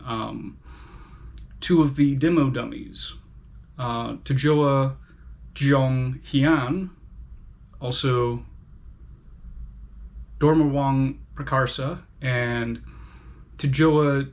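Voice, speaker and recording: A man talking at an unhurried, deliberate pace as he reviews a book on his channel. His pitch sits in a middle range, and he pauses often to gather his thoughts. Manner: slow, careful, with frequent pauses and fillers. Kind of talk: casual spoken book review.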